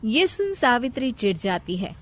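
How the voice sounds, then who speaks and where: A woman's voice: fairly high pitch, sing-song, normal speed. A woman storyteller reading scripted narration of a moral family story.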